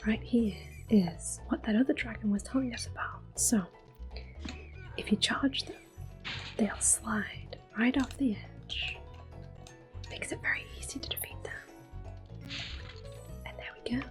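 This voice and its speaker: A woman giving gentle, soft-spoken ASMR gameplay commentary in a breathy whisper. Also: on the high side. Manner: gentle, unhurried. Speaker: gameplay host. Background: soft game music.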